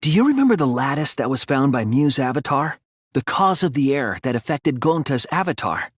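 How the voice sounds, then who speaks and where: A man playing an earnest, analytical young male detective in an English video game dub, reasoning deliberately and articulately. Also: mid-range voice, very animated, breathy edge, no echo, clean studio sound. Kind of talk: English game dub, dramatic courtroom deduction.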